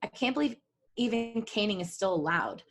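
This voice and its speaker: Steady young wizard man, read by a woman. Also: mid-range voice, moderate pace, a little hiss or hum, natural voice. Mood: resigned, hopeful. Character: steady, protective young male wizard, reassuring. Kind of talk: amateur story reading.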